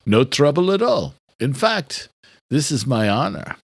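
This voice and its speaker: A man's voice: medium pitch, sing-song, measured pace, creaky, dry recording, pitched above the performer's own voice, exaggerated voice. A man voicing a murderer who poses as a courteous chauffeur, sly and overly polite, in an audiobook thriller. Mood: smoothly courteous. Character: male killer posing as courteous chauffeur, sly and menacing.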